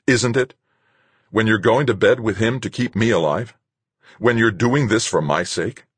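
Guy playing a bitter suspicious hero in an audiobook, blunt n accusing, husky, pretty dry sound. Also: low voice, lively intonation, normal speed, dry recording, exaggerated voice. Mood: accusing, angry. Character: sick, suspicious, bitter man, story's hero.

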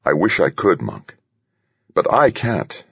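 A man's voice: low-pitched, very animated, slow, gravelly, slightly rough, no echo, highs cut off, exaggerated voice. A man voicing a smaller, brainy man in an audiobook, earnest and placating yet stubbornly insistent. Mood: reluctant, firm. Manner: earnest, soft-spoken but insistent. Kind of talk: audiobook character voice.

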